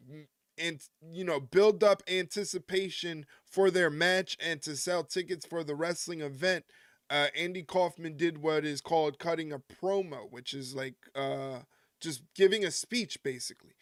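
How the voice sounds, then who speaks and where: A man's voice: a little high, moderate pace, dry recording, studio quality. A man hosting a wrestling live stream, rambling and joking with his chat and often chuckling. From United States.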